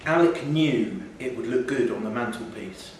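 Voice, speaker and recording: A man's voice: medium pitch, lively intonation, moderate pace. Very echoey man reading a short story. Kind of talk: live literary story reading. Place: theatre venue, indoors. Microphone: distant from speaker. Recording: very echoey, distant mic, faint noise.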